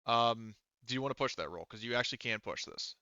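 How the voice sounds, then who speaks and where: A man's voice: mid-range voice, fast talker, background noise. A man acting as game master, casually refereeing a tabletop game and thinking aloud in a hesitant way. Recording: band-limited, dull dry audio.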